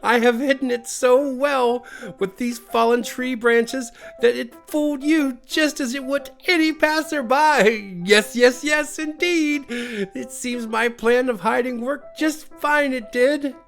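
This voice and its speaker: A man voicing a small, excitable, sly creature in a storybook, fussy and exclamatory, repeating himself. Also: very high-pitched, slow, creaky, hardly any pauses, faint music behind, voice pushed up, exaggerated voice. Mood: amused, self-satisfied. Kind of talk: storybook character voice.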